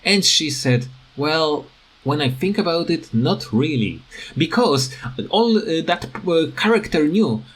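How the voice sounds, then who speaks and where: Slow, halting man rambling about a game. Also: fairly high pitch, lively intonation, a bit bright, husky, poor audio. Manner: halting, searching for words. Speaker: gaming video creator. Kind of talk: unscripted rambling game commentary.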